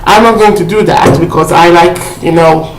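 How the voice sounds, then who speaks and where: A woman's voice: fairly low pitch, steady tone, moderate pace, slight background noise. A woman casually showing off a shopping haul on her vlog, chatty and full of fillers, often checking in with "okay?". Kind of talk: casual haul video chat. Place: indoor room at home. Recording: roomy echo.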